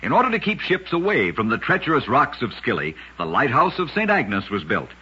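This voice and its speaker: A man's voice: mid-range voice, huge pitch swings, a little fast, pushed and bright, slightly rough. A man narrating short curiosities for radio in a scripted, dramatic style with emphatic storytelling. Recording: dull lo-fi old radio recording.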